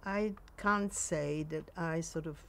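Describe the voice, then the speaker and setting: Gravelly old Polish-accented woman. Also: low-pitched, fairly bright tone. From Poland. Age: 90s. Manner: halting, with frequent pauses and restarts. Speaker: interviewee. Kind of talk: recounting memories in conversation. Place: sunny garden outdoors.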